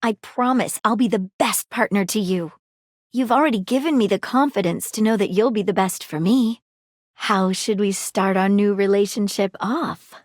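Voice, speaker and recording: A woman's voice: medium pitch, normal speed, dry recording, studio quality, close to an everyday voice. A woman voicing an eager female detective who is a magnifying glass, with a dramatic, earnest and playful sleuth delivery in a dating video game. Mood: affectionate.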